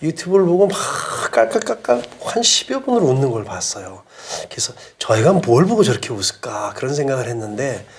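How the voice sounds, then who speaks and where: A man's voice: medium pitch, very animated, a little fast, hardly any pauses, a little hiss or hum. A man hosting an interview, speaking politely and conversationally with some hesitant phrasing. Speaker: interview host.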